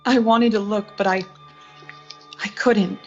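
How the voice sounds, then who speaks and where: A woman's voice: mid-range voice, fairly even intonation, slow. A young woman acting an ambitious, unsentimental art director who narrates her own story in a radio suspense play. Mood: grim, reflective. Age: young adult. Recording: muffled lo-fi recording with music.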